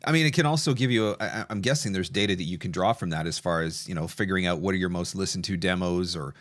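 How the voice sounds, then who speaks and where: A man's voice: low-pitched, fast, a bit bright, dry recording, studio quality. A man hosting an online session in a conversational style, guiding a guest and explaining points to viewers. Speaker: session host and voice actor.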